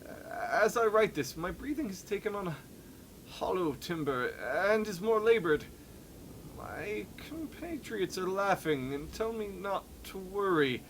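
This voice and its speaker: A man's voice: sits high, animated, a little slow, slight background noise, pitched above the performer's own voice, low-quality recording. A man voicing a fussy, troubled adventurer who is turning into a sea creature, reading a game journal theatrically. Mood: secretive, troubled. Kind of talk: dramatic read-along of game journal.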